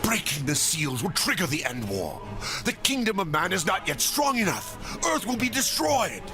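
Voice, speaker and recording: An actor playing a grave, anguished angel of death in a video game, formal and imploring, over music. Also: male voice, sits high, very animated, moderate pace. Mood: troubled. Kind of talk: dramatic video game character acting. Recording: loud music behind the voice.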